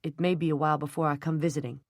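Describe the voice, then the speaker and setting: A woman voicing a troubled, tender young man who speaks in a quiet, weary, subdued way. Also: sits low, level tone, very fast, no echo, clean studio sound.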